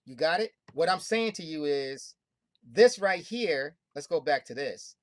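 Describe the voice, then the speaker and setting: A woman hosting a group call, pitching hard with emphatic, repetitive, preaching talk. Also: animated, measured pace, dry recording. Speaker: host of a money club call.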